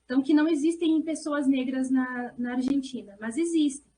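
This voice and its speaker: A Brazilian woman speaks as a researcher in an academic discussion. Her voice sits high and has a mellow, gentle tone. She explains in an earnest, discursive way, often adding little check-in tags. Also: a little fast. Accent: Brazilian Portuguese.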